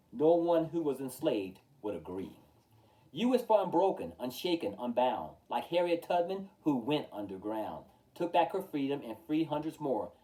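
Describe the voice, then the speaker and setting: A man reading a rhyming children's picture book aloud with rhythmic, emphatic, expressive delivery. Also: mid-range voice. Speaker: storytime reader. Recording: distant from the mic.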